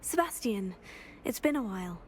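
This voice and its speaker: A woman's voice: medium pitch, expressive, moderate pace, a little hiss or hum, natural voice. An actress playing a polite, bold and curious teenage witch student in a video game, with a composed, courteous manner. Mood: polite, earnest. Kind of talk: video game dialogue acting.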